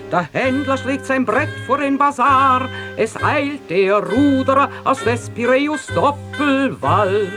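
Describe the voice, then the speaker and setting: Schauspielerin als feierlich deklamierende Tochter, gemessen. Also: female voice, mid-range voice, lightly acted. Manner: lofty, declamatory. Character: solemn, admiring young daughter declaiming in verse. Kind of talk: declamatory verse in comic radio drama. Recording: 1969 radio play recording.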